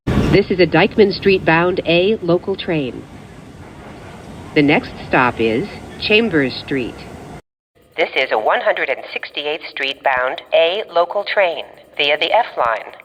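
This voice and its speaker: A woman reading scripted automated subway announcements as the train announcer, in measured, clearly segmented phrases. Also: lively intonation. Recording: muffled, noisy recording inside subway train. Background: train rumble and ambience.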